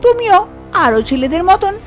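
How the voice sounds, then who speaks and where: A woman's voice: very high-pitched, big pitch swings, moderate pace, faint music behind, pitched above the performer's own voice. A woman voicing a spoiled, demanding rich young woman in a cartoon folk tale. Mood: haughty, demanding. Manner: boastful, blaming.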